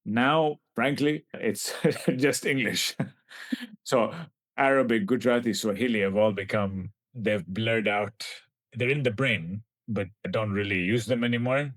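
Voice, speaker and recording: A man in his forties from Kenya speaks as a scientist guest, his voice sitting low. He talks in a thoughtful, halting way and often restarts his phrases. The sound is close and dry. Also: a bit bright, no echo. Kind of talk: reflective interview answers.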